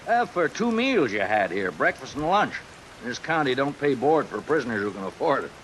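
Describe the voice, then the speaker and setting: A man's voice: mid-range voice, big pitch swings, normal speed, fairly bright tone, rough recording. A man acting a condescending, folksy older constable in a 1950s radio drama. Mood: lecturing, wry. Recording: hissy old radio recording under rain sounds.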